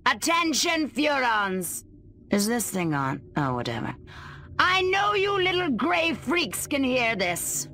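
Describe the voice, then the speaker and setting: A woman's voice: high-pitched, sing-song, slow pace, sharp tone, exaggerated voice. A woman playing a scornful, patriotic government agent villain in a video game, theatrical, taunting and sarcastic.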